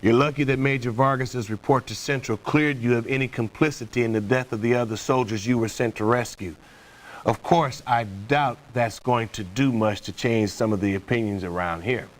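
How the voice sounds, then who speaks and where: A stern male commander in a game cutscene, played by an actor. He is middle-aged, with a mid-pitched voice, and delivers his briefing in a formal, measured way. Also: natural voice, low-quality recording. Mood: stern, businesslike.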